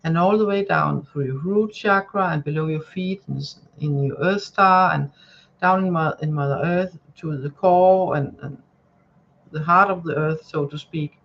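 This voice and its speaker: A woman with a Danish accent chats through a live tarot reading, searching for words and circling back with many fillers. Her talk turns gentle and soothing when she gives spiritual guidance. The sound is somewhat dull and lo-fi. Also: deep voice, moderate pace, creaky. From Denmark. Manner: rambling, hesitant, many fillers and restarts. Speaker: tarot reader. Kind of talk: live-stream card reading chat.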